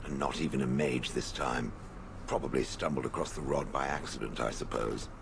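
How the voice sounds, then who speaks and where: An actor playing an ancient, sardonic stone golem in a video game, speaking formally in a contemptuous deadpan. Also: normal speed, background noise. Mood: sardonic, weary. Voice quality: deep, gravelly. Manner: haughty deadpan, formal phrasing, addresses people as "it". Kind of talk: video game character acting.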